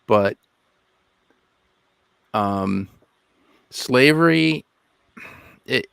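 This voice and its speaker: A man from the United States co-hosting a podcast, talking hesitantly with many pauses and restarts. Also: mid-range voice, lively intonation, vocal fry, fairly bright tone, smooth, dry recording. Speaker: podcast co-host. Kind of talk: casual podcast discussion.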